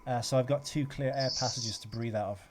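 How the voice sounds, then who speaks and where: A man's voice: medium pitch, fairly quick, background noise. Self-deprecating man chatting casually. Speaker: podcast co-host. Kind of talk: casual chat.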